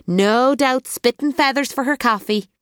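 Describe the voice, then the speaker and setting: A woman voicing a gossipy male village cafe owner with a booming, sing-song Irish accent, loud and nosy. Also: unhurried, clean tone, dry recording, clean studio sound. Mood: hearty.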